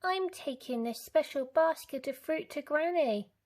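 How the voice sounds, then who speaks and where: A woman voicing a cheerful, polite little girl with a high, sweet voice. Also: expressive, measured pace, darkish tone.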